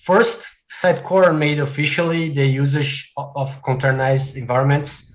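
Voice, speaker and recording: A man from Brazil whose first language is Portuguese, giving a technical presentation as a developer, with frequent "uh" fillers and measured delivery. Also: a little high, muffled recording. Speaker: conference speaker, software developer.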